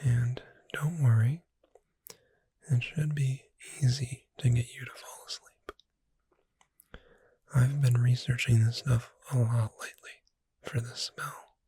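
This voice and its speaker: A gentle witch who keeps a bookshop, acted out by an ASMR performer, whispering very breathily and slowly. The voice is soft and soothing, speaking straight to the listener in a close, dry sound. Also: mid-range voice, flat delivery, vocal fry, slightly rough, no room sound, exaggerated voice. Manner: slow, gentle, soothing, addressing the listener directly.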